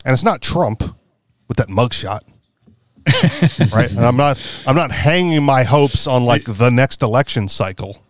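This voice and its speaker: A man's voice: medium pitch, lively intonation, measured pace, no room sound. Rambling wry male podcast host. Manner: rambling, self-correcting, wry. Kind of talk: casual political chat.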